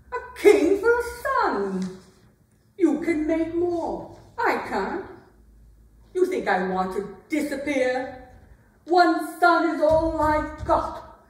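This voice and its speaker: A woman playing a bitter, taunting aging queen in a theatrical staged reading, with biting, deliberate delivery. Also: high voice, full character voice. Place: arts center stage, recorded from afar. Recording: very echoey hall, distant mic.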